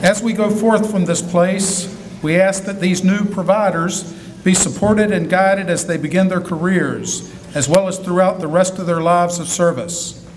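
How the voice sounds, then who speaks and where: A man giving a solemn, measured benediction prayer at a college graduation ceremony. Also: sits high. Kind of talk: formal spoken prayer. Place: college auditorium. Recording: auditorium sound with long reverb and noise.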